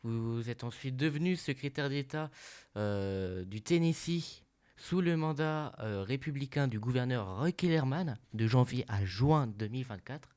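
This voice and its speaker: A man's voice: medium pitch, lively intonation, measured pace, a little mellow, natural voice. A man playing a polite, hesitant interviewer. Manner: polite, formal, many hesitation sounds. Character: male news agency interviewer, polite and formal. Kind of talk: roleplay interview.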